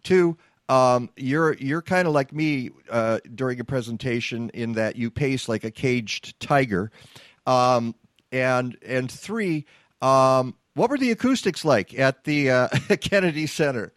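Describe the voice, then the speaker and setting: A man hosting a talk show, chatting casually with a guest, joking and halting with fillers. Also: mid-range voice, dry recording, studio quality. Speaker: radio show host.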